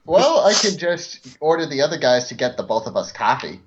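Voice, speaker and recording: A man's voice: a little high, big pitch swings, a little fast, muffled recording, slight background noise. A man chatting casually as a player in a tabletop roleplaying game.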